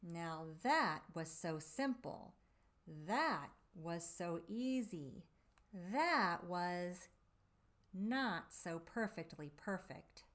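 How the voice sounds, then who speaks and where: Female children's storybook reader. Unhurried, very melodic delivery, theatrical emphasis, dramatic pauses. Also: fairly low pitch, lively intonation, fairly bright tone. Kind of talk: expressive read-aloud of a children's picture book.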